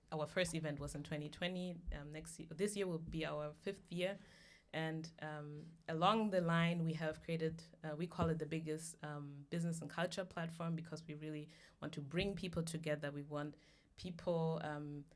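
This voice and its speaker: A low-pitched woman from Germany speaks as a guest on a conversational podcast. Her delivery is thoughtful and measured, and she often pauses with "um" while she gathers her ideas. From Germany (Hamburg). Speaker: guest, founder of a business platform.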